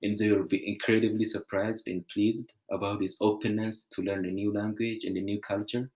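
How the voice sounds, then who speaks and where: A young man from Ethiopia, giving an earnest, measured prepared presentation with small restarts. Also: lowish voice, little pitch movement, darkish tone, low-quality recording.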